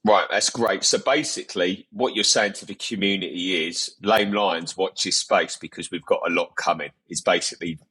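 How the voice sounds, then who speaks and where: A man's voice: deep voice, moderate pace, few pauses, bright, forceful tone, husky. A male interview host who sums up his guests' answers and keeps the talk moving with upbeat questions. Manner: upbeat, rephrases guests' answers, asks "yeah?". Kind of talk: casual interview.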